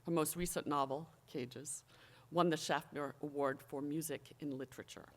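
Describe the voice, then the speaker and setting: Measured formal ceremonial speaker. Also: medium pitch, steady tone. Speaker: college official introducing the new president. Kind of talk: formal prepared ceremonial speech.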